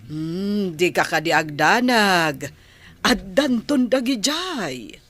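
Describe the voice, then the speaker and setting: A woman playing an elderly, motherly grandmother in an Ilocano radio drama, with a drawn-out, expressive delivery. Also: fairly low pitch, full character voice. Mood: reassuring.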